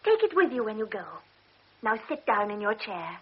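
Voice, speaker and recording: A moody, pleading young invalid. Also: female voice, mid-range voice, big pitch swings, breathy edge. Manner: pleading, quick to take offense. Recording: dull old radio recording.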